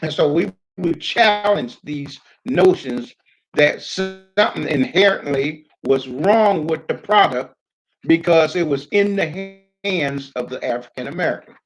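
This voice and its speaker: An older man giving an unscripted public address, reminiscing in a halting, deliberate way with frequent hesitations. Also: on the high side, expressive, faint music behind, low-quality recording. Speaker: community speaker recounting his experience as a pioneer.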